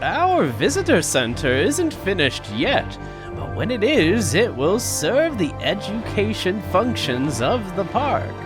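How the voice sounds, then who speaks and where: High, theatrical park owner played by a man. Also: huge pitch swings, slow, over music, heavily acted. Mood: grand. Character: proud, showy older male park owner. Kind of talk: audio drama acting.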